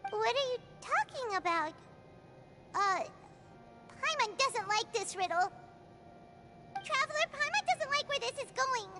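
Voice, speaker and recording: A woman voicing a small, childlike, excitable fairy companion in a video game, squeaky and speaking of herself by name. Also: a little slow, long pauses, over music. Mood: puzzled, alarmed.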